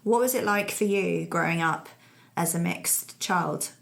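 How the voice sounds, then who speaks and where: A woman hosting a podcast, asking her guest questions in a casual, measured way. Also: lowish voice, creaky, a bit bright. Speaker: podcast host.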